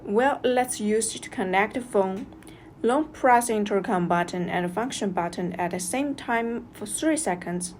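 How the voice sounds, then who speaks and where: A woman's voice: mid-range voice, unhurried, music in the background. A woman reads a scripted product review as a narrator, going through instructions step by step.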